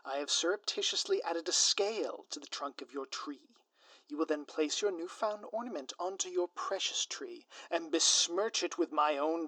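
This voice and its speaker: A man playing a scheming, theatrical supervillain in a scripted ASMR audio roleplay, with a pompous, florid, drawn-out delivery. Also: on the high side, pushed and bright, no echo. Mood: smug, menacing. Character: theatrical scheming male supervillain, gloating, menacing, easily flustered.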